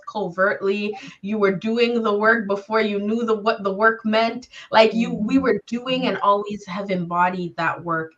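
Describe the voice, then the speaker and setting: A woman speaking as a panelist in a reflective discussion, with a thoughtful, articulate and deliberate manner. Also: medium pitch, fairly bright tone, highs cut off.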